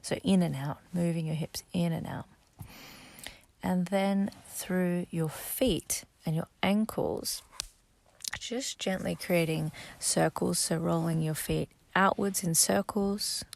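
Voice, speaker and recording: A woman guiding a sleep meditation, speaking softly and gently, slow and instructive, sometimes half-whispered. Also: on the low side, fairly even intonation, gravelly, no echo. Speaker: meditation guide.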